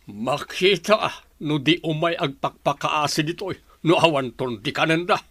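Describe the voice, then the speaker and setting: A man's voice: a little high, normal speed, fairly bright tone, no echo. A man playing a proud, grudging village father who takes offence easily, in a radio drama. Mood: grumbling. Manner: gruff, accusing.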